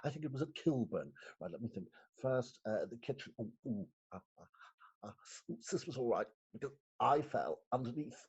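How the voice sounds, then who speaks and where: Man playing a husky, stammering, flustered magistrate. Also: mid-range voice, animated, moderate pace, a little mellow. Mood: dazed, rueful. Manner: stammering, hesitant, exclaiming. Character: flustered, guilt-ridden, respectable London magistrate, disheveled after a night out. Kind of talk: theatrical comic monologue, staged reading. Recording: dull lo-fi remote recording.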